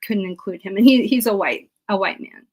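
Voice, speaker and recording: Woman historian as interview guest, chatting thru her research, kinda hesitant, lots of pauses. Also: medium pitch. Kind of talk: informal interview answers about historical research.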